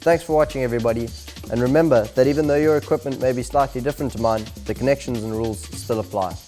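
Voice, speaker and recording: A man's voice: mid-range voice, mellow, soft background music. Man giving step-by-step instruction. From South Africa. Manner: clear, step-by-step, deliberate phrasing. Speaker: presenter, DJ equipment instructor. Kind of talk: instructional video narration.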